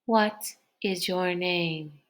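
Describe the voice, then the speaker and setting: Storybook villain machine played by a woman reader, slow and seductive. It coaxes and insinuates, drawing the listener in with measured persuasion. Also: fairly low pitch. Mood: calm, commanding. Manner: coaxing, insinuating. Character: seductive, whispering mind-reading machine, coaxing and insidious.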